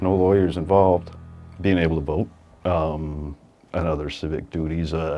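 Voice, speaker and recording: A man from Canada telling his personal immigration story in an interview, relaxed and halting with fillers. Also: low voice.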